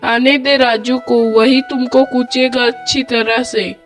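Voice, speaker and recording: A woman's voice: a little high, level tone, slow. Woman voicing a fretful old mother. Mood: pleading. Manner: pleading, motherly. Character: kind widowed old mother, gentle and worried. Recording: music bed under the voice.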